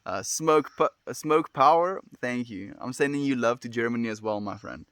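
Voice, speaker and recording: A young man with a South African accent talks warmly to his livestream chat, gentle and affectionate, often adding "my friend". His voice sits at an ordinary middle pitch. The sound is dry and close, with no room echo. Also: normal speed, clean tone. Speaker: livestream host reading chat.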